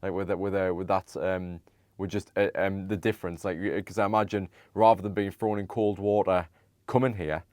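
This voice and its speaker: A young man hosting a podcast, asking long, halting questions full of "um"s and restarts. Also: low-pitched, steady tone, darkish tone, no room sound, studio quality. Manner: halting, many "um"s and stammered restarts. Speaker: podcast host. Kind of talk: casual interview conversation.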